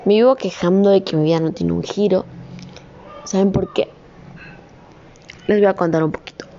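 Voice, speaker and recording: Young woman, earnest vlogger, warm low tone, phone-recorded with faint room ambience. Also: fairly even intonation, normal speed, creaky, highs cut off, a little hiss or hum. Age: young adult. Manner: earnest, rambling, rising to emphatic scolding. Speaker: communications student. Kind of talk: personal vlog monologue.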